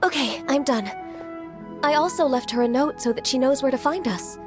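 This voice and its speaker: Actress doing a high sweet polite young woman, gentle anime game friend, faint music under it. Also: normal speed, natural voice. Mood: polite, friendly. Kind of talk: anime-style video game voice acting.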